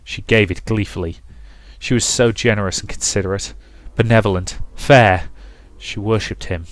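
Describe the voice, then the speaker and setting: A man with a fairly low voice, recorded close and dry. He hosts a visual novel playthrough, switching between dramatic narration and casual spoken commentary. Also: normal speed, dry recording. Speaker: let's play host reading a visual novel.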